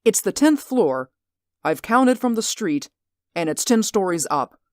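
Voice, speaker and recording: Woman playing an anxious, animated man in radio drama. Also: mid-range voice, unhurried, no room sound, clean studio sound. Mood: earnest, uneasy. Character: anxious, insistent male stage actor spying on neighbours.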